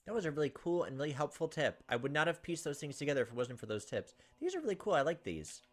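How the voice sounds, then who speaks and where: A man's voice: a little high, sing-song, fast talker. A young man reading game text aloud and commenting casually as a let's play host. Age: young adult. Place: indoors at a computer, webcam.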